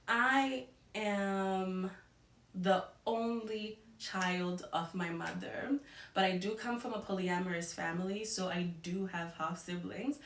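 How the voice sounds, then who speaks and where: A young woman from Zimbabwe talking casually in a get-to-know-me vlog, chatty and expressive, dropping in Shona and Ndebele phrases. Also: fairly low pitch, reverberant, recorded from a distance. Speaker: vlogger.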